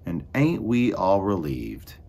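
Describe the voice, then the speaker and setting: A man voicing a bossy, mouse-hating female cook in a storybook, barking scolding orders in a husky shout. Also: low-pitched, wildly swooping pitch, slow pace, exaggerated voice. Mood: gruff, sarcastic.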